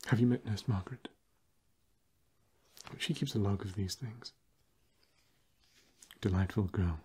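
A young man playing a fussy, old-fashioned vampire housemate in an ASMR roleplay, breathy and drily polite. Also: lowish voice, mellow. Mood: polite, reassuring. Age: young adult. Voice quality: breathy, husky. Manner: genteel, dry, measured.

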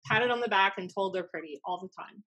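Woman, thirties, American accent, mid-pitched voice, blunt storyteller, animated casual podcast chat. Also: fast talker, rough recording. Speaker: podcast host. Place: small room at home, indoors. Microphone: desk podcast microphone on video call.

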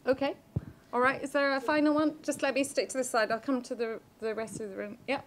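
Lady moderator, kinda high voice, keeps people on track, faint background noise. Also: steady tone. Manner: brisk, steering speakers to the point. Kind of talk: chairing a Q&A. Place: conference room.

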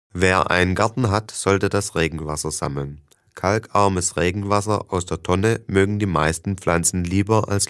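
A man with a very low voice reads a prepared text for learners, steady and measured. His tone is smooth and clear throughout. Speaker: reader of listening texts. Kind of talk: scripted reading for learners.

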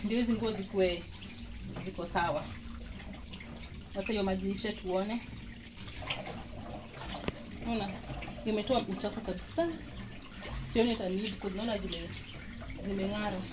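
A woman's voice: mid-range voice, steady tone, moderate pace, long pauses. Give me a chatty Kenyan woman vlogging laundry on a phone, with a noisy background of running water. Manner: chatty, mixes Swahili and English, pointing things out. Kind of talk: casual how-to demonstration. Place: small home laundry area, indoors. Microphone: handheld phone camera.

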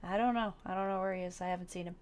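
A woman's voice: on the low side, fairly even intonation, rapid-fire, natural voice. A woman playing a blunt, curious young survivor in an improvised game roleplay, talking casually.

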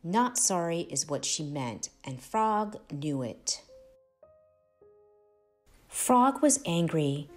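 Female children's storyteller: expressive, very unhurried, lively intonation, music underneath. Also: lowish voice. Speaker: storybook narrator, host of read-aloud channel. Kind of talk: expressive children's read-aloud.

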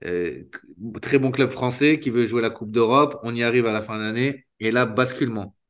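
A man in his thirties, a former footballer, talking candidly in an interview over a video call, restarting sentences and filling pauses often. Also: medium pitch. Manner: spontaneous, halting, many restarts and fillers. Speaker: retired footballer guest. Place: small room indoors. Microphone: video call webcam.

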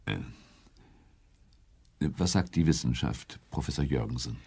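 An actor playing an evasive, authoritative male head of government in a radio play, gravelly and husky. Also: very deep voice, lively intonation, a little slow, darkish tone, heavily acted. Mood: impatient.

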